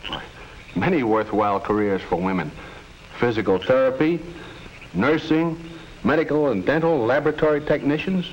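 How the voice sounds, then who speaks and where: A man acting as a patient, middle-aged American dad from a 1950s classroom film. His delivery is earnest and instructive, with a very lively melody. The sound is muffled, with faint hiss. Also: mid-range voice, normal speed, close to an everyday voice. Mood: encouraging. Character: earnest, patient father advising his teenage children.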